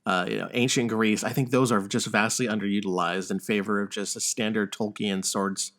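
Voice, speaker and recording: A man's voice: fairly low pitch, fairly even intonation, brisk, a bit bright. Man hosting a casual gaming podcast. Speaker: podcast host. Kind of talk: casual gaming podcast talk and news rundown.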